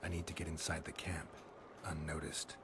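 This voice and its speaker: A man playing a terse, gruff fantasy monster hunter in a video game, speaking in a flat, businesslike way. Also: a bass voice, normal speed, a loud crowd. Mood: terse, guarded. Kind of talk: video game dialogue acting.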